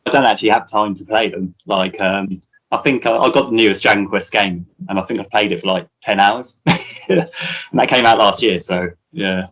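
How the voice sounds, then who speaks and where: A man's voice: fairly low pitch, moderate pace, a bit bright, highs cut off. A British man, a musician guest, chatting casually in an interview, rambling with many fillers and restarts.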